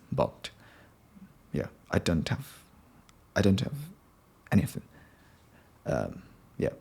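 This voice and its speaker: A young man with a Japanese accent, speaking English as a learner in an unscripted solo podcast. He hesitates, restarts often and fills pauses with "um". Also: deep voice, a little mellow. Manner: hesitant, many ums and restarts. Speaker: podcast host.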